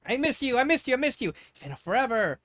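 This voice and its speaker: A sweet, sunny young girl acted out by a fanfic reader, pitched a little above the narration. She is playful and excitable, gushing as she talks in an amateur story reading. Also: fast, no room sound, clean studio sound. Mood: overjoyed.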